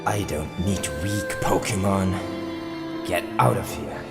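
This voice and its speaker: A contemptuous, cruel anime trainer voiced by a man speaks low and slowly. Very loud music surrounds the voice. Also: vocal fry, heavily acted. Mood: cold contempt. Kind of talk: anime-style villain dub acting.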